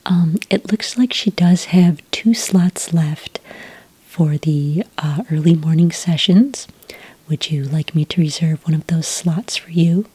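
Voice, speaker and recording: A woman playing a friendly, patient witch enrollment clerk in an ASMR roleplay, speaking in a gentle, breathy whisper with an unhurried, helpful manner. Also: sits low, dry recording, heavily acted. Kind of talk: soft-spoken ASMR roleplay.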